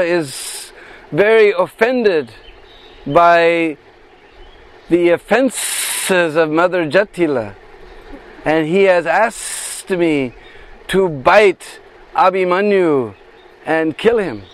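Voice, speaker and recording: Man voicing a solemn servant snake, drawn-out halting lines, raised voice. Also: high-pitched, a bit bright, full character voice. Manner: slow, halting, deliberate.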